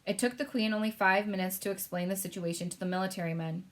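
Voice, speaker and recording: A woman narrating a children's book aloud, steady and clear. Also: mid-range voice, fast. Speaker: storyteller.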